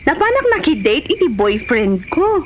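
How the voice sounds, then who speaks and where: A woman's voice: sits high, big pitch swings, normal speed, lo-fi sound, background noise. A woman with a Filipino accent playing a girlish young village woman in a comedy drama. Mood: bubbly.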